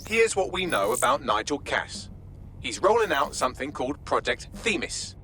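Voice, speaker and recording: An actor playing a dry-witted, articulate artificial intelligence assistant in a video game. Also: male voice, on the high side, sing-song, moderate pace, pushed and bright, a little hiss or hum. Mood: briefing. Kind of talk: video game cutscene acting.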